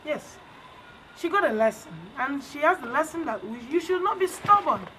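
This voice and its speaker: Female storyteller, high register, instructive repetition for young listeners, noisy recording. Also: lively intonation, normal speed, fairly bright tone. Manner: teacherly, repeats lessons emphatically. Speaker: storyteller leading children through a story's lesson. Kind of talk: bedtime storytelling and question-and-answer with children. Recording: clear background noise.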